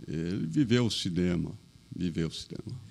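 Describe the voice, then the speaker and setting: An older man, a podcast guest talking in a halting, plain-spoken way with many repeated phrases. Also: low voice, expressive, vocal fry, a little mellow. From Brazil. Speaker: podcast guest, business owner. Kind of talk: casual interview chat.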